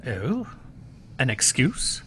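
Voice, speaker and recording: An actor playing a courteous but quietly suspicious police inspector in a radio mystery, questioning politely. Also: male voice, mid-range voice, wildly swooping pitch, extremely slow, a little hiss or hum, heavily acted. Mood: probing. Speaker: actor in audio drama. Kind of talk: old-time radio mystery acting.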